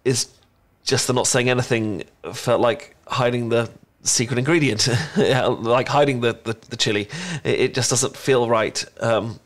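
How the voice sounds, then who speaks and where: A man's voice: mid-range voice, fairly even intonation, a little slow, few pauses, lightly acted. A man with a British accent playing an adorkable, shy young chocolatier in an intimate ASMR romance roleplay, stammering and rambling awkwardly. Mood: tender, nervous. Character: adorkable, bashful young male chocolatier confessing a crush.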